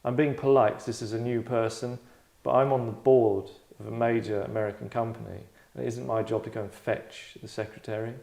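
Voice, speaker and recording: A man hosting and directing a table read, chatting casually in a tongue-in-cheek acting critique, deadpan, rambling and self-satisfied. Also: mid-range voice, fairly quick, gravelly, few pauses. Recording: roomy, echoey indoor sound.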